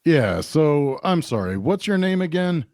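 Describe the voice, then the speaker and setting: An actor playing a burly pub keeper in an audio drama, halting and polite but unimpressed. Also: male voice, fairly high pitch, sing-song, a little mellow, dry recording, studio quality. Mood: awkward, nudging. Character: burly, no-nonsense pub keeper, a man, mildly impatient.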